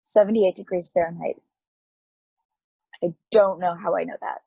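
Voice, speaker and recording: A woman playing a newly awakened, anxious space probe in an amateur table read, halting, stammering and apologetic. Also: on the low side, fairly even intonation, gravelly, warm tone, husky, no echo, highs cut off. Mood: puzzled, searching.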